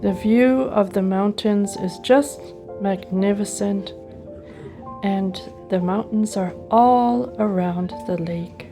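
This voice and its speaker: A woman's voice: medium pitch, slow, over music. A woman narrating her personal travel vlog in an enthusiastic, appreciative way. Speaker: travel vlogger.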